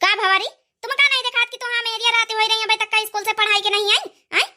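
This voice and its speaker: A woman's voice: very high-pitched, fairly even intonation, fast, sharp tone, full character voice. A woman voicing a scolding old village mother-in-law in a cartoon comedy, complaining in a rustic Awadhi accent.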